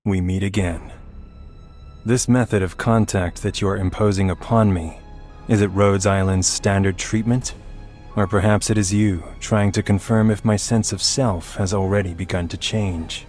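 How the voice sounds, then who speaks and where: A man voicing a grave, ominous hunter warrior in a video game, speaking in a solemn, measured, formal way. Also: fairly low pitch, steady tone, a little mellow, faint music behind, natural voice. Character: grave, ominous male abyssal hunter warrior, distrustful of land dwellers. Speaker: voice actor for a video game character. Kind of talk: scripted video game character lines.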